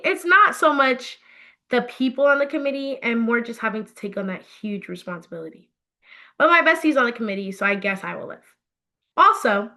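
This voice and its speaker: A woman voicing a chatty, self-doubting teenage girl confiding in her diary, in an expressive story reading. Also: on the high side. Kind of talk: first-person story reading.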